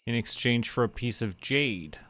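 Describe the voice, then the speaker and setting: Slow-paced man with a bright voice. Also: medium pitch. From Canada. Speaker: game streamer. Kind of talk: relaxed casual game commentary, thinking aloud.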